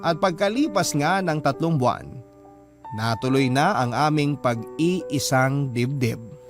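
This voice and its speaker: An actor playing a sincere, devoted husband narrating his love story for radio, earnest and measured, with a Filipino accent. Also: mid-range voice, animated. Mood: reflective. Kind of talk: scripted first-person radio drama narration. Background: background music.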